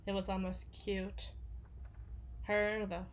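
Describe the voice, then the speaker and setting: A woman playing a gruff, sweary villain's bodyguard who confides haltingly and sardonically in an intimate ASMR audio roleplay. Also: medium pitch, monotone, slight background noise, close to an everyday voice. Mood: wistful grief. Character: gruff, foul-mouthed female villain's bodyguard, sardonic and haunted.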